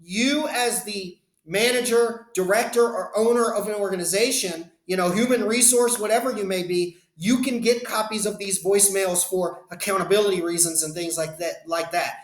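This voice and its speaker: Man, high pitch, conversational tech explainer, echoey room. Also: normal speed, few pauses. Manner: conversational, explanatory, addresses viewers directly. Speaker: business phone system consultant. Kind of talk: screen-share product demo. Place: indoor room, webcam inset.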